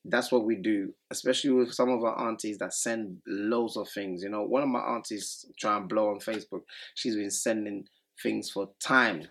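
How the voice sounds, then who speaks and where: A man co-hosting a podcast in casual chat, animated and acting out his relatives' voices, English not his first language. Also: lowish voice, moderate pace. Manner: animated, acts out relatives' voices mid-story. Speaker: podcast co-host.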